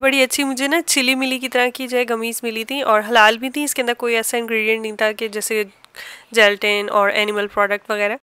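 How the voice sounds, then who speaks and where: High, clear-voiced Pakistani woman vlogger. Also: level tone, fairly quick, sharp tone, no room sound. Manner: chatty, explanatory, homely everyday talk. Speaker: home cooking and family vlogger. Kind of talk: casual vlog voice-over narration.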